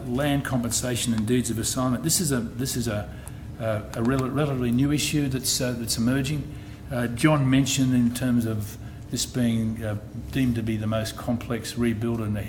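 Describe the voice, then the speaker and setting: A middle-aged man with an Australian accent, an insurance executive giving a presentation at a public meeting, measured and often restarting his phrases. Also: mid-range voice, fairly even intonation. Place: meeting hall. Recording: noisy meeting room recording.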